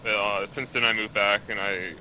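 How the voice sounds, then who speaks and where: A young man from Canada talks easily as a guest on an online show. His voice is low-set but very bright and sharp. He rambles casually and fills his story with "like". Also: fairly even intonation, moderate pace, a little hiss or hum, low-quality recording. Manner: laid-back, many "like" fillers. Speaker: guest esports player. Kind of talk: casual interview chat.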